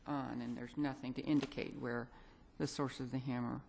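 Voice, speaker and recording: Very low-voiced woman judge questioning counsel. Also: little pitch movement, normal speed, gravelly, darkish tone, a little hiss or hum, poor audio. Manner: probing, pointed questions. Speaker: appellate judge. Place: courtroom.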